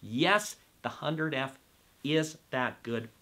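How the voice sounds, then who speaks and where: Bright-voiced middle-aged man reviewing gear. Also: medium pitch, slow pace. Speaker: host and audio reviewer. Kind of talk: product review talk to camera. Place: indoor room set with records on the wall.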